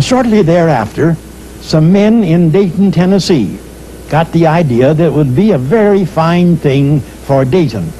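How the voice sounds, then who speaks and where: An older man recounting past events in a measured, hesitant way, with frequent pauses and uh's. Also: fairly high pitch, dark tone, a little hiss or hum, low-quality recording. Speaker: storyteller recalling history. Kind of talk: spoken historical recollection.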